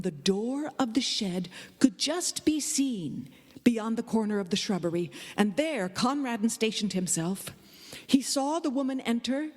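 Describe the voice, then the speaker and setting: A woman giving a dramatic live reading of a literary story, with expressive, deliberate phrasing. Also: mid-range voice. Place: indoor hall, live performance. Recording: live performance in a reverberant hall.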